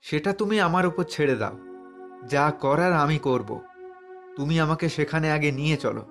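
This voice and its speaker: A man voicing a brave, cunning young hero in a fairy-tale cartoon, calm, confident and smooth-talking. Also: on the high side, normal speed. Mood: determined, reassuring. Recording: music behind the voice.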